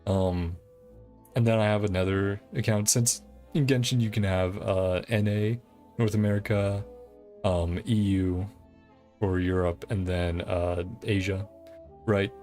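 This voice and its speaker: A woman streaming a game and chatting casually as she plays, often laughing and filling pauses with fillers. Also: very deep voice, fairly even intonation, mellow, faint music behind. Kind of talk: casual gameplay commentary.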